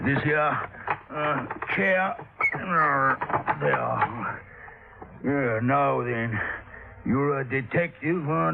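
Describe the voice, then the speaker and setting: Huge bullying boss, Southern accent, creaky gravel voice, blustering insults, vintage radio drama, tinny narrow-band sound. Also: male voice, fairly high pitch, very noisy. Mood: gruff, wheezy bossiness. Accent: American South. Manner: blustering, insulting, halting as he heaves himself about. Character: huge, bullying, short-tempered Southern small-town boat boss. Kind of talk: 1950s radio drama acting.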